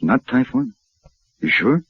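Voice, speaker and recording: A resolute gunfighter of the Old West speaks with dry, clipped authority at a medium pitch. His delivery swings widely in tone, in the manner of vintage western radio drama. The old broadcast sounds dull. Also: wildly swooping pitch, slow pace, gravelly, breathy edge, no echo, exaggerated voice. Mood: questioning, calm. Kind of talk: 1950s western radio drama acting and narration.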